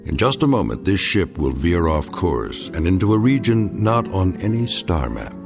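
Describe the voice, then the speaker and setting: An actor narrating a radio drama in a measured, grave voice, as the story's narrator. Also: male voice, low voice, big pitch swings, gravelly, heavily acted. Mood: solemn. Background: music.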